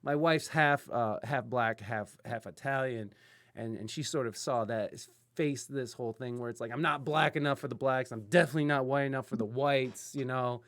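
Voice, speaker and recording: A man's voice: medium pitch, moderate pace, a bit bright. A middle-aged man from Ohio hosting a casual interview podcast, talking in a rambling way with many restarts and fillers. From Ohio, USA. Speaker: podcast host.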